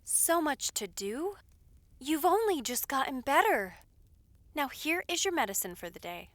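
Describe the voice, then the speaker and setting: A woman performs a brisk, caring palace maid in an audio roleplay. Her voice is bright and high, full of lively swings, polite and reassuring as she fusses. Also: no echo, full character voice. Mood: fussing, caring. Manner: polite, formal, fussing reassurance.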